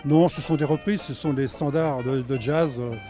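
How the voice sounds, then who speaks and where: A man who plays in a jazz band from Normandy, answering an informal interview haltingly and repeating words. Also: on the high side, music in the background. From Normandy, France.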